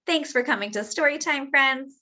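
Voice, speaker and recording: A woman's voice: sits high, normal speed, pushed and bright. A woman hosting a children's storytime, reading a picture book expressively and dramatically for young listeners. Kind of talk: animated picture-book read-aloud.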